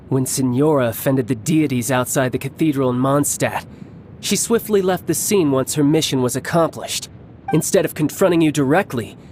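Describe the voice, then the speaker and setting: An actor playing a cocky young villain warrior in a video game, theatrical and playfully menacing, relishing battle with taunts. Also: male voice, on the high side, darkish tone, slight background noise, lightly acted. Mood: reflective, taunting. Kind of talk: English video game voice acting.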